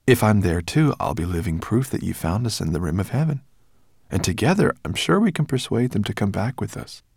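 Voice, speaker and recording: A man's voice: lowish voice, sing-song, brisk, vocal fry, darkish tone, slightly rough, no room sound, studio quality, full character voice. A man voicing a confident, loyal female dragon with a firm, earnest manner in a storybook. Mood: persuasive.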